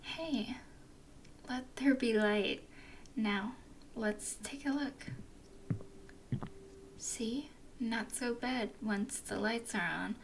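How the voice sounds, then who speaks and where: A woman voicing a flirty, playful female werewolf guide in an ASMR roleplay, speaking in soft, teasing whispers. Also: on the high side, drawn-out words, long pauses, a little hiss or hum.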